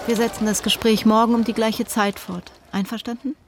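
Eine Schauspielerin spielt im Hörspiel eine gefasste Psychologin, sachlich und nachfragend. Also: female voice, fairly high pitch, flat delivery, fairly quick, noisy background, lightly acted. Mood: composed, probing. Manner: matter-of-fact, probing. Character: composed, clinical female psychologist. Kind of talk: radio drama dialogue.

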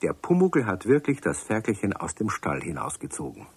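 A man narrating a children's radio play in a calm, expressive storytelling manner. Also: on the low side, moderate pace, husky.

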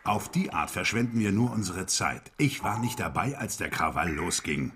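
An actor playing a streetwise, bossy hustler in a German radio crime drama, gruff, scolding and sardonic. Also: male voice, sits low, animated, normal speed, a bit bright, a little hiss or hum. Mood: businesslike, impatient.

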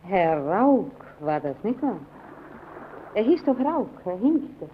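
A woman's voice: mid-range voice, very animated, slow, a little hiss or hum. Curious, persistent woman visitor, dull old recording. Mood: chatty, eager. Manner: polite, chatty, probing, questioning. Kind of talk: old German radio play acting.

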